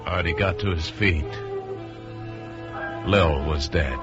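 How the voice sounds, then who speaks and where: A man's voice: low voice, slow pace. An American actor giving a dramatic radio suspense performance as a storyteller and an anguished, scheming man in love. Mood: grave, suspenseful. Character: anguished scheming murderer, a lovestruck man telling his downfall. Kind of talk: 1950s radio suspense acting, dramatic narration. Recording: muffled vintage radio recording, music underneath.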